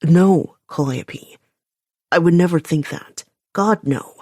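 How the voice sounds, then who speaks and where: A woman's voice: lowish voice, takes it slowly, darkish tone, no echo, clean studio sound. A woman reading an audiobook voices an anxious, remorseful man who pleads earnestly and apologizes. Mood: pleading.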